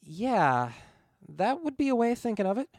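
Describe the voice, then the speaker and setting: A sarcastic, flustered wisecracking ghost of a man as a male reader acts him for a story. He is exasperated and halting, pitched up with a creaky grain. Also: big pitch swings, a little mellow, dry recording, studio quality, full character voice. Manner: sarcastic, stammering.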